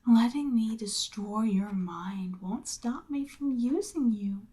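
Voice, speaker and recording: A woman voicing a seductive, domineering Demon Queen in an ASMR roleplay, breathy and often near a whisper, with a smug, coaxing, commanding manner. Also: a little high, animated, takes it slowly, mellow. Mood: threatening, commanding.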